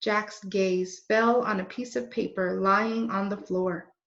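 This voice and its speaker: A woman reading a children's book aloud in a deliberate, expressive way. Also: mid-range voice, creaky. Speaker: read-aloud storyteller. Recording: roomy, dull lo-fi recording.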